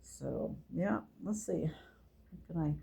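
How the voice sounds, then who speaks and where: A low-voiced woman talks unhurriedly about the past. Her speech drifts gently from thought to thought, with easy fillers along the way. It is a casual, homey chat straight to her viewers. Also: lively intonation, vocal fry. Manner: slow, meandering and gentle, with fillers. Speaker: channel host. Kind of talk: casual reminiscing to camera.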